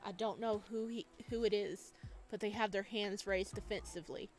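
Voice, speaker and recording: A woman reading a visual novel aloud at home, with quick joking asides between lines of narration. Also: medium pitch, steady tone, darkish tone, faint music behind. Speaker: game streamer.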